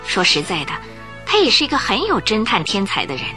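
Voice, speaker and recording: A woman tells a radio detective story in a bright, forceful voice. Her pitch swings widely as she dramatizes the plot, and faint music sits underneath her. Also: wildly swooping pitch, fairly quick. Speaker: narrator. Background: music bed.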